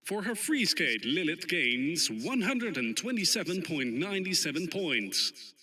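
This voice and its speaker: A man voicing an upbeat, formal skating competition announcer in a visual novel game, with measured, showy delivery. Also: a little high, very animated, sharp tone, husky, full character voice. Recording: faint crowd noise behind.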